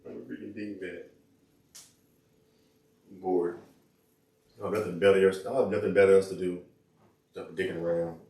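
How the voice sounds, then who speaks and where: A hoarse man losing his voice, hosting his own channel in a rambling, casual way. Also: low voice, level tone, normal speed, long pauses, dark tone. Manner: rambling, full of fillers and restarts. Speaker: channel host. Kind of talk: casual rambling vlog talk. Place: indoors in a room. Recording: echoey room, mic at a distance, faint noise.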